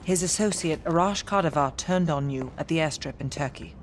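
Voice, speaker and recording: A woman playing a tough, composed British intelligence agent in a video game. She speaks in a lowish, measured, businesslike voice. Noisy ambience sits clearly behind her words. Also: close to an everyday voice. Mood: matter-of-fact. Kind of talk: video game cutscene acting.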